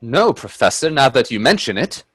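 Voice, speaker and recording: An actor playing a timid, wonder-struck gentleman companion in a radio play, with genteel, hesitant delivery. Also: mid-range voice, sing-song, normal speed. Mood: mild, agreeable. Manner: hesitant, stammering in dialogue, genteel phrasing. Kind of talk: radio drama acting and first-person narration. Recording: husky dry close audio from video-call performance.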